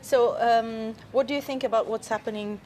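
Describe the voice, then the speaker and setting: A woman's voice: on the high side, steady tone, normal speed, a little mellow, slight background noise. A woman reporter asking short, probing questions in an interview on location.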